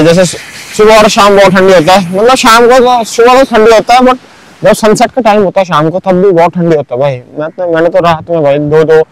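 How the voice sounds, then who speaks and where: Young man, chatty vlog narration, slightly high voice, smooth and clear, outdoors on a beach. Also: no room sound, poor audio. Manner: chatty, keeps saying "bhai" and "matlab". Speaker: vlogger. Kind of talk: casual walking tour narration.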